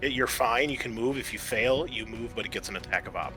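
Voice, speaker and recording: A man's voice: medium pitch, fairly quick, sharp tone, soft background music, poor audio. A man acting as game master, running a tabletop roleplaying session for players.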